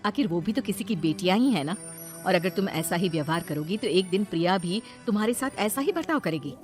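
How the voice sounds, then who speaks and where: A woman voicing a gentle, wise middle-aged neighbour in an animated moral story, reasoning in a calm, kindly manner. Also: lowish voice, a little fast, faint music behind, natural voice. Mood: gently reproving.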